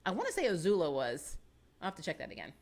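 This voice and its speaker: American woman, thirties, live-stream host; chatty, laughs a lot, a touch low-pitched, close boom mic in a small home studio. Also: fast, creaky. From United States. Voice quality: chatty and animated, laughs often, thinks aloud with fillers. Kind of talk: casual chat. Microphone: boom-arm studio microphone close to the mouth.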